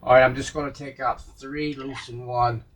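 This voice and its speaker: A man giving casual, unscripted commentary as he works on a vintage radio, musing aloud in a halting way to himself and his viewers. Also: mid-range voice, flat delivery, slight background noise, poor audio. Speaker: vintage radio restorer showing a repair.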